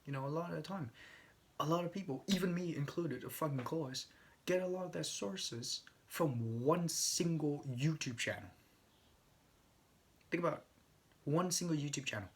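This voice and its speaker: A man's voice: on the high side, normal speed, pauses often, slight background noise. A young man rambling casually, swearing often and stumbling over restarts.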